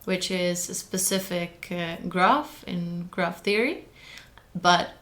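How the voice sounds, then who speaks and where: A young woman whose first language is Hungarian, a mathematician speaking as a guest in a podcast interview, earnest and careful, often pausing to find her words. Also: on the low side, a bit bright. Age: young adult. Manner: careful, earnest, with frequent hesitations. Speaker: guest, early career mathematician.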